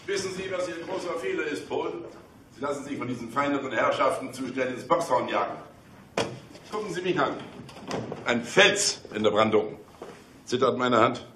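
A man's voice: mid-range voice, lively intonation, normal speed, long pauses, a bit bright, a little hiss or hum, natural voice. An actor playing a stubborn, sardonic male police detective in a German radio crime drama. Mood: boastful, defiant. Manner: blustering, sardonic.

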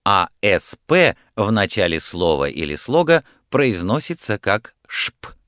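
A man with a very low voice reads out language-course instructions in a clear, measured way. His pitch moves a lot from word to word. The studio sound is dry and close. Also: sing-song, a bit bright, dry recording. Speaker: course narrator giving instructions.

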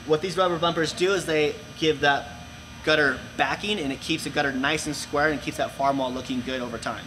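A young man presenting for a company, giving clear step-by-step instructions in a large indoor room. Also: on the high side, pushed and bright, a little hiss or hum, rough recording. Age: young adult. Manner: clear step-by-step explaining with hand gestures. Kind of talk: instructional how-to video.

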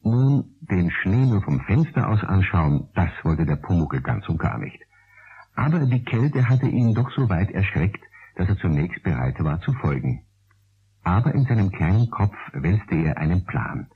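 A man narrating a children's story in a 1960s German radio play, in a husky voice. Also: low voice, big pitch swings, measured pace, full character voice. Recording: dull, lo-fi 1960s radio recording.

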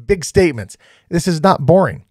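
Upbeat man in his thirties, higher-pitched, dry close studio sound, coaching style reaction talk. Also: moderate pace, dry recording. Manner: energetic, repeats himself, coaching tone. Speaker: real estate content creator reacting to a video. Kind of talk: casual reaction commentary. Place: small home studio, indoors.

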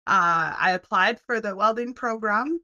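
A woman in her thirties from Canada, chatting as a podcast guest over a video call on a headset, friendly and quick to laugh. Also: medium pitch, sharp tone, lo-fi sound. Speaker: guest, welding program head. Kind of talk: casual interview chat. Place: home room, video call.

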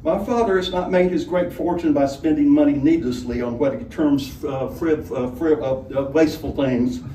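A man voicing a tipsy young gentleman traveller with slurred, genteel phrasing, in a story reading. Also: on the high side, fairly even intonation, moderate pace, close to an everyday voice. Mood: tipsy, airy. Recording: roomy, distant mic, background noise.

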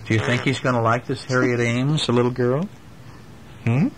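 A man's voice: medium pitch, fairly even intonation, moderate pace, crowd noise, rough recording. Older man reading a bedtime story. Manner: gentle, chatty, asks the child questions.

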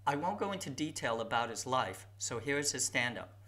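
A man's voice: medium pitch, sing-song, fairly quick, pushed and bright. A man in his fifties, a YouTube host, narrating a reflective, personal video essay.

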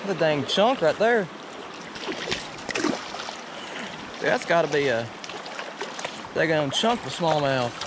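A young man, excitedly narrating as he fishes in a river, recorded on a head-mounted camera. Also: a little high. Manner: excited, folksy exclamations. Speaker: fishing vlogger. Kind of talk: casual narration while fishing. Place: outdoors wading in a river. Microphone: head-mounted action camera. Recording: outdoor camera mic with rushing water noise.

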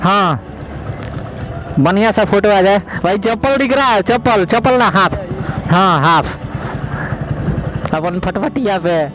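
A young man gives chatty, excited commentary while riding a motorbike, his phone mic right at his mouth. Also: high voice, slow pace, long pauses, poor audio. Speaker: motovlogger. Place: on a motorbike on an open highway. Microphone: phone mic pressed close to mouth. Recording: loud wind and road noise.

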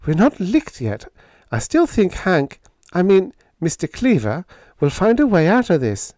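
A spirited young woman of pulp fiction, put on by a male narrator. She speaks high and primly, with emphatic, indignant swings of pitch. The recording is dry. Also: wildly swooping pitch, a little slow, a little mellow, no room sound, heavily acted. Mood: plucky. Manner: prim, emphatic.

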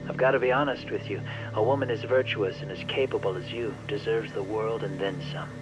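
An actor playing a guarded, weary middle-aged former teacher, speaking politely and with measured understatement in a game drama. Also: male voice, on the low side, steady tone, over music, lightly acted, rough recording. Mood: polite, grave. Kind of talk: dramatic video game dub acting.

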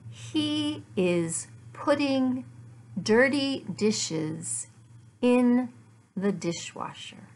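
A middle-aged woman, an English teacher, giving a lesson in deliberate, word-by-word phrases with repeated questions and answers. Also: a little high, animated, a little mellow, a little hiss or hum. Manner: deliberate, word-by-word enunciation for learners. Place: small furnished room at home.

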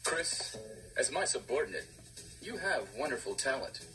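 A man playing a smug, cold traitor commander in a nineties horror game, stilted and deliberate. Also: medium pitch, big pitch swings, echoey room, music in the background, lightly acted, rough recording. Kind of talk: cheesy 1990s video game acting.

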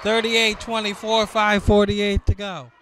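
Male play-by-play caller, high-pitched and unhurried, over a loud school gym crowd. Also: flat delivery, slight background noise, low-quality recording. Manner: slow clipped calls with occasional shouting. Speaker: basketball play-by-play announcer. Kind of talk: live sports commentary. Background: crowd and bouncing ball.

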